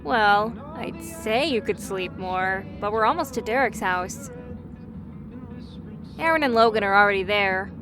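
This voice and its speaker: A woman's voice: mid-range voice, lively intonation, unhurried, over music, lightly acted. A woman playing a caring, teasing young best friend in an audio roleplay, chatty and a little hesitant as she talks. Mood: gentle, teasing. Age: young adult. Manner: chatty, hesitant, self-correcting, playfully teasing. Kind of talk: interactive audio roleplay acting.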